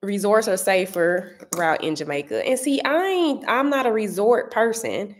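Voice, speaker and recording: A woman in her thirties with a St. Louis accent, chatting casually to viewers from her dining room, animated and often searching for words. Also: medium pitch, moderate pace. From Saint Louis area. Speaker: vlogger answering viewer comments. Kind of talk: casual chat.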